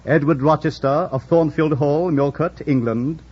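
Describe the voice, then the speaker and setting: An actor playing a formal, unflappable lawyer, speaking deliberately in old radio drama style. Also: male voice, on the high side, a little mellow. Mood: formal, insistent. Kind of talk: 1940s-50s radio drama acting. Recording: muffled old radio broadcast recording.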